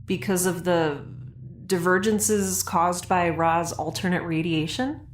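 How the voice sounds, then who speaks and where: A woman's voice: on the low side, slow, slight background noise. A woman playing a chatty, naive young mutineer in a science fiction audio drama, rambling cheerfully and informally. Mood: baffled. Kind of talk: audio drama acting, conversational.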